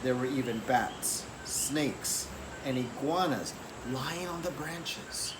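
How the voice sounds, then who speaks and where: A man reading a children's picture book aloud in an expressive, deliberate storytelling manner. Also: mid-range voice. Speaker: story reader. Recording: clearly noisy background.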